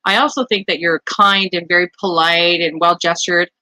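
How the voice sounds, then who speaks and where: Chatty woman with a bright, fairly low voice, rambling and close-miked in a dry space. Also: steady tone, moderate pace, no room sound. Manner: chatty, rambling, heavy use of fillers. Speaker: voice chat participant. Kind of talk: casual chat.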